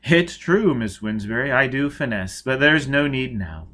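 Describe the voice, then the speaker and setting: Man playing a smooth, sympathetic young intelligence officer. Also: medium pitch, expressive, unhurried. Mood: soothing sympathy. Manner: gentle, adroit, courteous.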